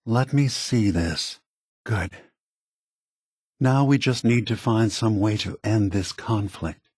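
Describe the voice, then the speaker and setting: Grave, husky synthetic leader. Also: male voice, fairly low pitch, darkish tone, no room sound, clean studio sound. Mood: pensive. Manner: measured, deliberate, solemn. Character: calm, grave synthetic man, weary leader and schemer. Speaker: voice actor. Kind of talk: video game voice acting.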